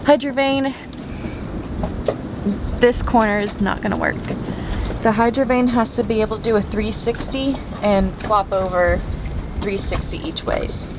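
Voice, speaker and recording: A woman's voice: medium pitch, fairly even intonation, a little slow, long pauses. Young woman, twenties, casual selfie vlog from a boat deck, chatty and rambling, wind noise heard behind her. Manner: chatty, thinking aloud with fillers. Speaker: sailing vlogger. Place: outdoors on a sailboat deck at anchor. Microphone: camera held at arm's length. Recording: noisy outdoor wind and water.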